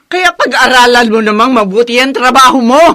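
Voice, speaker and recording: A woman's voice: on the high side, lively intonation, fairly quick, pushed and bright. A woman acting an exasperated, berating mother in a family drama, with a Filipino accent. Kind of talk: acted family drama dialogue.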